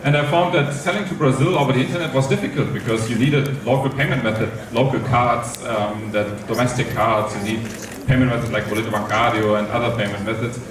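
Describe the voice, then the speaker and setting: A man from Germany in his forties, speaking on a conference panel into a handheld mic with many pauses and ums. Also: mid-range voice, far from the mic. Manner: halting, many ums and restarts. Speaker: company co-founder, panel speaker. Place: conference stage in a large hall. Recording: echoey hall, mic heard from afar, noisy.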